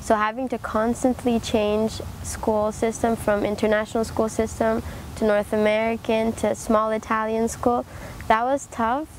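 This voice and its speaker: A young woman student speaks in a fairly high voice, calm and thoughtful. Faint traffic noise sits in the background. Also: unhurried, low-quality recording. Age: young adult. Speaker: university student.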